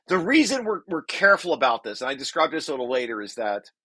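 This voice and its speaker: Bright-voiced man explaining a technical paper. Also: mid-range voice, very animated, slightly rough, no room sound. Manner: halting, self-correcting, repeats words. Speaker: presenter and paper author. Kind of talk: table read of a technical paper with informal explanation.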